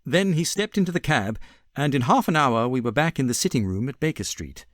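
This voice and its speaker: A British man narrates classic fiction in a medium-pitched voice. His delivery is expressive, with lively swings in pitch, and the recording is very dry. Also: fairly quick, no room sound. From Britain. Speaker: audiobook narrator. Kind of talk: expressive literary story narration.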